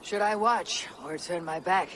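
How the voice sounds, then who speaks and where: A woman's voice: on the low side, a little slow, a bit bright, a little hiss or hum. An actress playing a wry, steadfast female Viking chieftain with an assured manner in a game cutscene. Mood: teasing. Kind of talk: video game cutscene acting.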